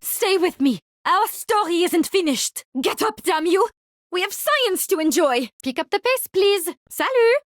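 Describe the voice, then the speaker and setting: A woman's voice: very high-pitched, animated, measured pace, dry recording, clean studio sound. A woman playing an eccentric, cheerfully morbid French mushroom scientist in a video game, with a French accent and a wry, theatrical delivery. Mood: encouraging. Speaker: video game character voice lines. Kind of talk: video game hero barks.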